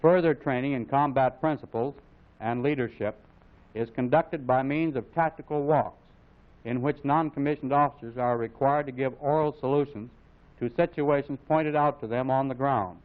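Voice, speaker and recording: An American man reading scripted military instructional narration in a formal, authoritative, measured way, as on a mid-century training film. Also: medium pitch. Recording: phone-like vintage film soundtrack, narrow band.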